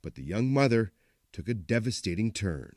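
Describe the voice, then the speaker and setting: A man's voice: on the low side, very animated, moderate pace, a little mellow, no room sound, clean studio sound. A man narrating a scripted television news report.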